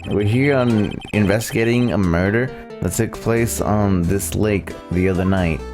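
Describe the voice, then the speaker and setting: Low-voiced, casual male game streamer. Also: unhurried. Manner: casual, offhand. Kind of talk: live game playthrough, reading dialogue and commenting. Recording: game music behind the voice.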